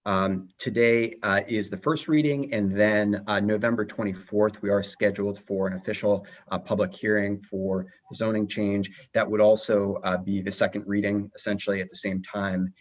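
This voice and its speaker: A man briefing a city council in a formal meeting, pausing often with fillers as he explains. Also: on the low side, steady tone. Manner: halting, many uh and um fillers. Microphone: remote video call. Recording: muffled video call audio.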